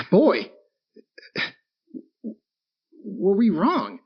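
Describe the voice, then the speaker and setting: A man's voice: high-pitched, huge pitch swings, extremely slow, highs cut off, full character voice. A man playing a grave, defiant war-time radio announcer with a dramatic, emphatic delivery. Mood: grave, rueful. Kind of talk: dramatic radio news broadcast acting. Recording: band-limited radio sound with clear noise.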